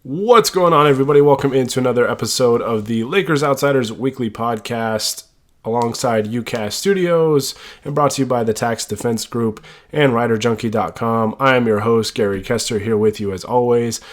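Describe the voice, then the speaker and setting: A man's voice: medium pitch, moderate pace. A man hosting a sports podcast while stuffed up with a cold, talking casually with many fillers and restarts. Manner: rambling with frequent fillers and restarts. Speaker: podcast host.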